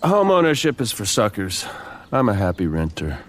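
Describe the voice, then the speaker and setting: An actor playing a petty, taunting grown brother in an audio drama, cocky and dismissive. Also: male voice, on the low side, big pitch swings, normal speed. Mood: smug, taunting. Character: smug, belligerent adult brother, petty and taunting.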